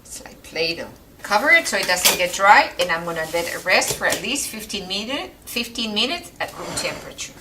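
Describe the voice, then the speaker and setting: A woman's voice: medium pitch, very animated, fairly quick, distant mic, noisy background. A woman from Mexico with a Mexican accent, a native Spanish speaker, hosting an informal home recipe demonstration. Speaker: home cooking video host. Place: kitchen.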